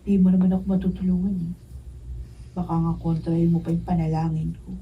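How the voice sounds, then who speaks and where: A woman's voice: on the low side, level tone, fairly quick, natural voice. A woman playing a bold, lovestruck young lady in a radio drama, pouty, forward and yearning. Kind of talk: Filipino radio drama acting. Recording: muffled distant mic, noisy room.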